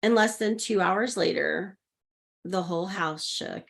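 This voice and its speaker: Animated woman around sixty telling a story. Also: on the low side, unhurried, vocal fry. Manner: animated storyteller who acts out the voices she quotes. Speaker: guest, intuitive leadership coach. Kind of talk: podcast interview storytelling.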